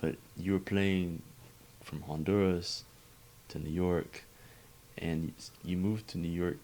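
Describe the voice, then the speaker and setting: A man hosting an interview podcast, asking halting questions and answering with frequent short affirmations. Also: low-pitched, steady tone, darkish tone. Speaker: podcast host. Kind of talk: casual interview chat.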